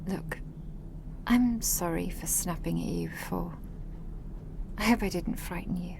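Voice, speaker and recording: A woman voicing an affectionate, protective ancient vampire woman in a soft, intimate ASMR roleplay, with genteel old-fashioned phrasing. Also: fairly low pitch, measured pace, gravelly, background noise. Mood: tender, apologetic.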